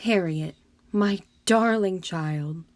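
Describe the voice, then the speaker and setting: A woman reading a storybook for children, voicing a patient but exasperated mother who scolds gently in measured words. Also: lowish voice, no echo. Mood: restrained exasperation. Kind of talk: storybook read-aloud for children.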